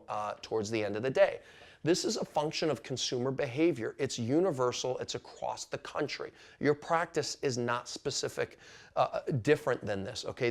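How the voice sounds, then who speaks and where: A man with a mid-pitched voice presents an instructional business explainer. He speaks emphatically, leaning on key words, and regularly tags his points with short questions to check that listeners follow. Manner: emphatic, with frequent "okay?" checks.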